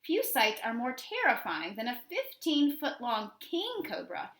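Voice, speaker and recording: Woman, teacher read-aloud, bright tone, animated intonation, deliberate instructional phrasing, echoing room. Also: on the high side. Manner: instructional, addresses young listeners directly, deliberate phrasing. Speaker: teacher reading aloud to children. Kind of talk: children's nonfiction read-aloud.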